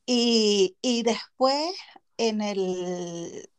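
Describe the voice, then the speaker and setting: A woman's voice: mid-range voice, extremely slow, clear, resonant tone. A woman from Chile with a Canary Islands Spanish accent, hosting a casual podcast chat, chatty and giggly. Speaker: podcast host.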